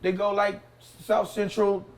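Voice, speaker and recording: A man's voice: sits high, monotone, unhurried. A man from South Bay, Los Angeles, a barber guest, chatting casually in slangy, rambling talk with lots of "you know what I'm saying". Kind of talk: casual podcast chat.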